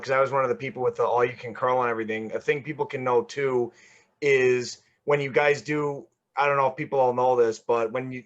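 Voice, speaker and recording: A man's voice: medium pitch, a bit bright. A man in an online meeting adds a tip in a casual, hesitant way, restarting his sentences. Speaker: meeting attendee. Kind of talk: casual chat on a video call. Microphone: computer mic on a video call. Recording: muffled video call audio.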